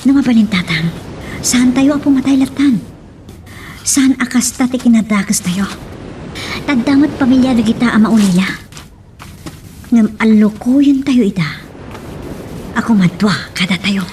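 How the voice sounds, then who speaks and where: A woman's voice: on the high side, moderate pace, pauses often, dark tone, slight background noise, natural voice. An actress playing an earnest, concerned village woman with a Filipino accent in a radio drama. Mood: earnest, worried.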